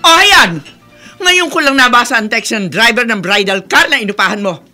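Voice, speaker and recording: A woman's voice: fairly high pitch, animated, normal speed, pushed and bright, soft background music. A woman playing a disapproving, domineering middle-aged mother in a radio soap, scolding in Tagalog mixed with English. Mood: stern. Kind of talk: Philippine radio soap opera acting.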